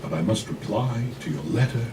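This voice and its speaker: A man's voice: on the low side, lively intonation, a little fast, natural voice. Man reading as a sly mock-grieving woman, noisy room. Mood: feigned sorrow. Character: sly, scheming woman feigning grief in a letter. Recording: noisy live room, distant mic.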